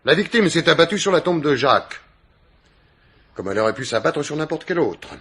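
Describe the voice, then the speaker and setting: A man's voice: fairly high pitch, very animated, brisk, a bit bright. An actor playing a haughty, curt upper-class father in a French radio mystery drama, speaking with formal, imperious sarcasm. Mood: cold disdain. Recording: noisy old radio drama recording, clicks.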